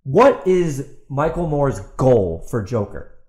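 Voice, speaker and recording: A man's voice: medium pitch, big pitch swings, slow, a little mellow. A man hosting a solo podcast, ranting in a combative, exasperated and blunt way, repeating himself as he goes. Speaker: podcast host. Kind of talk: ranting solo commentary, partly reading out a post. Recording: roomy, echoey sound.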